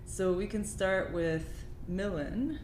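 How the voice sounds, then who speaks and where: A woman's voice: medium pitch, takes it slowly, a little mellow. A young woman born in Poland, raised in Canada, guiding a casual podcast chat in a friendly way. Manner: friendly, laughs easily, guides the talk. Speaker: podcast co-host and moderator. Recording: echoey room, light noise.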